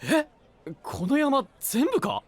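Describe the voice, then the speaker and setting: A man's voice: very high-pitched, huge pitch swings, slow pace, exaggerated voice. A man playing a young knight in an anime-style voice drama, casual and blunt in speech yet reassuring like a big brother. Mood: startled, spirited.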